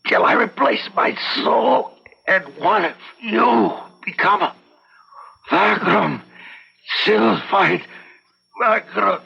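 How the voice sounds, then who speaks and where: A man playing a menacing, dying vampire with a raspy, gravelly voice and slow theatrical delivery in old radio horror style. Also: high voice, noisy background, exaggerated voice. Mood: cursing, agonized. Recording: dull lo-fi radio recording.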